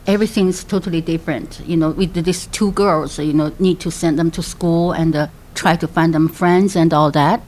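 A woman in her fifties from China, speaking English with a Chinese accent as a second language, telling her story in a halting way with many fillers. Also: sits low, steady tone, darkish tone. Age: 50s. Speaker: interviewee, mother. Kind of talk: personal storytelling.

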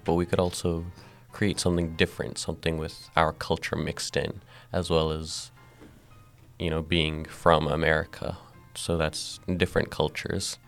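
Young guy with a super deep gravelly voice explaining stuff calmly. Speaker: guest, comic book co-creator. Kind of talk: interview answers.

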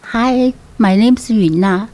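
A woman in her fifties from China, speaking English with a Chinese accent as a second language, telling her story in a halting way with many fillers. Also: a little high, mellow. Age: 50s. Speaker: interviewee, mother. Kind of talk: personal storytelling.

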